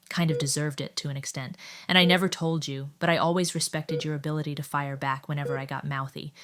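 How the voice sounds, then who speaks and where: A proud, reserved warplane character, played by a woman, speaks in a deep female voice. The delivery is measured and reflective, in audio drama style. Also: background noise, lightly acted.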